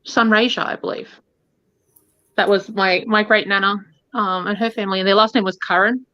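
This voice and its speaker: A woman's voice: mid-range voice, fairly even intonation, moderate pace, bright, forceful tone. A woman, chatting casually and rambling on a live stream. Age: 30s. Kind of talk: casual chat. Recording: dry, dull lo-fi sound.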